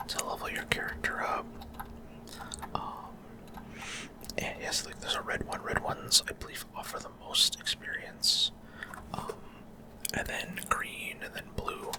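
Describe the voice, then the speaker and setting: A person whispering unscripted ASMR commentary over a video game, explaining things in a hesitant way. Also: medium pitch.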